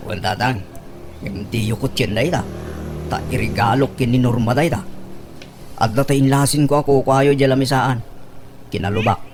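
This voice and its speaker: A man's voice: mid-range voice, moderate pace, pauses often, darkish tone, slight background noise, close to an everyday voice. A man playing an eager, lovestruck young suitor in a comedy radio drama, earnest and polite to elders, with an Ilocano accent. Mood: earnest, hopeful.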